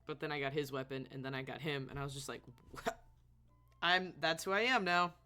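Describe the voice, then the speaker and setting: Bright-voiced game streamer, casual chatter. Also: mid-range voice, fairly quick, smooth, faint music behind. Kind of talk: casual game livestream commentary.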